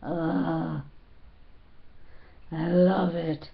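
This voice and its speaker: Woman beauty vlogger, casual and halting, lots of fillers, unhurried, a little hoarse, muffled lo-fi sound. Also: sits low, flat delivery. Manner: rambling, filler-heavy, halting. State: slightly hoarse. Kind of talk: casual makeup tutorial. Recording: dull lo-fi home recording.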